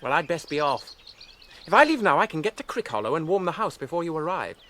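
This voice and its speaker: An actor playing a cheerful, brisk young hobbit in a radio fantasy drama, chatty and teasing. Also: male voice, fairly high pitch, sing-song, pushed and bright.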